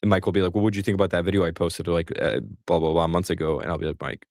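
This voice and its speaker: A man with a very low, gravelly voice hosts a live call-in stream. He talks casually, joking and poking fun at himself. The sound is dry and close. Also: steady tone, racing, darkish tone, no echo. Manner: chatty, self-deprecating, joking. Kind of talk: casual chat.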